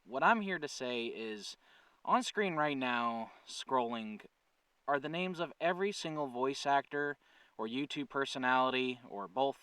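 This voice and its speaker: Male channel host, smooth clear voice at medium pitch, earnest and conversational, slightly off the mic. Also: expressive, a little slow, sharp tone, no room sound, distant mic. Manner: earnest, conversational, thinking aloud with self-corrections. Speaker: channel creator and host, writer-producer. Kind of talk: casual update talk to viewers.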